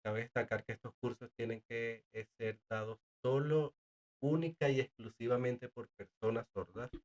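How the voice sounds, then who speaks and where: A man's voice: mid-range voice, fairly even intonation, normal speed, a little mellow. A man who works as a sign language interpreter and teacher of deaf students, a guest in a casual conversation, speaking earnestly with frequent hesitations and repeated words.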